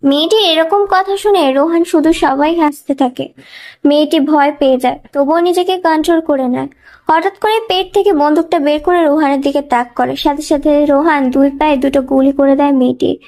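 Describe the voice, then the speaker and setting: A woman's voice: high-pitched, fairly quick, a little mellow. A woman reading scripted narration of a dramatic thriller story as a storyteller.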